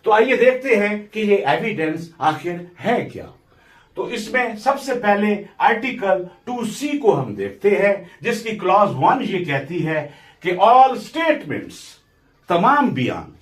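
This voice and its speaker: A Pakistani man lectures in a very slow, deliberate way, with a slightly high-pitched voice. He sounds like a law teacher explaining step by step, slipping English legal terms into his sentences. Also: very animated. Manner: didactic, deliberate, switches between Urdu and English legal terms. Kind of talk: instructional lecture.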